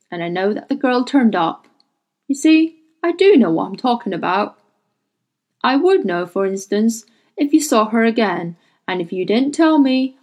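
A woman reading the part of an older male official who talks politely but makes veiled threats. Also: mid-range voice. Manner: polite, measured, quietly menacing.

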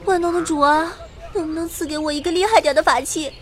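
A woman's voice: high voice, fairly even intonation, fast, slight background noise, natural voice. A woman playing a cute, cheeky, broke teenage girl in an audio drama, perky and theatrical as she acts and narrates. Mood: indignant, pleading.

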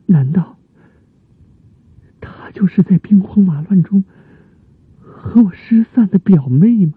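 An actor playing a lovelorn young court musician in a radio drama, declaiming with drawn-out yearning. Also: male voice, sits high, animated, slightly rough, dry recording, exaggerated voice. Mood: wistful longing. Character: young lovelorn male Tang court musician, aged twenty. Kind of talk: theatrical Chinese radio drama acting. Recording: muffled phone-like band, faint noise.

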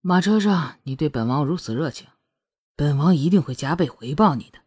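Casting: arrogant, seductive male prince for romance audiobook, performed by a woman; mellow, breathy, teasing, possessive, commanding drawl. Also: sits low, lively intonation, fairly quick, pitched below the performer's own voice. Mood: seductive, smug.

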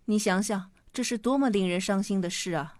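A woman playing a gentle, patient mother who explains earnestly in a radio drama. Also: medium pitch, a little fast, no room sound, clean studio sound, natural voice. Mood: earnest, sorrowful.

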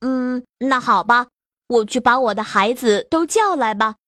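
A woman's voice: fairly high pitch, animated, measured pace, a bit bright, pitched below the performer's own voice. A woman voicing a proud, boastful old female turtle in a children's story. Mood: gruff. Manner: boastful, scornful. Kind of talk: storybook character voice.

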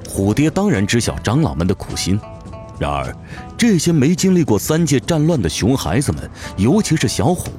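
A man narrates a dramatic story from a script. His voice is fairly low and moves through a very wide pitch range in a theatrical, expressive way. Music plays underneath.

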